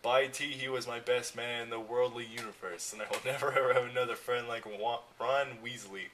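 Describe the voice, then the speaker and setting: A man's voice: medium pitch, fairly even intonation, fairly quick, a bit bright, recorded from a distance, natural voice. A man voicing an overwrought teenage boy wizard hero in a storybook reading, with stilted, melodramatic delivery. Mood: grieving. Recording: noisy amateur recording with clicks.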